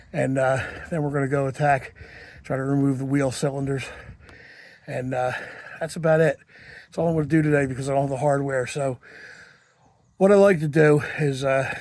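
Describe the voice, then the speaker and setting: A man's voice: mid-range voice, no room sound. Man, casual garage how-to talk, rambling with fillers, sniffling from a cold, outdoors. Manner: rambling, unpolished, with many fillers and restarts. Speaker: home mechanic.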